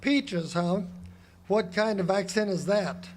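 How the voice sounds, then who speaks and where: An actor playing a bullying, suspicious small-town lawman in a radio drama, gruff and contemptuous as he interrogates. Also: high-pitched, takes it slowly. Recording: live stage recording, faint noise.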